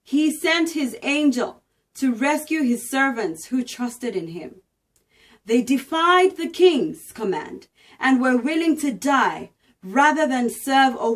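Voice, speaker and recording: A woman reader acts out an awestruck, proud monarch in a dramatic scripture reading. The delivery is commanding and declamatory, lifted high and loud as proclamations are made to all. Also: distant mic. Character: proud, awestruck Babylonian king proclaiming loudly.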